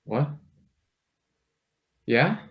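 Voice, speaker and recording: A man's voice: mid-range voice, sing-song, drawn-out words, gravelly, muffled recording, full character voice. Eager, wry young intern played by a man. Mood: tentative, wry. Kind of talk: table read of a satirical stage play.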